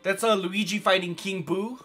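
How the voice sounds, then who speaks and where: Hoarse, raspy, chatty gaming host, man. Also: high-pitched, steady tone, moderate pace, sharp tone, faint music behind. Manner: chatty, rambling, lots of fillers. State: hoarse from yelling. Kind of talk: casual let's play commentary.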